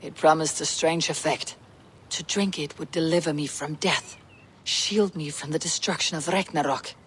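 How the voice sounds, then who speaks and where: A woman playing a Viking warrior troubled by strange visions, speaking in a measured, earnest way in a video game. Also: low voice, fairly even intonation, a little hiss or hum, lightly acted. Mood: awed, earnest. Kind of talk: dramatic video game acting.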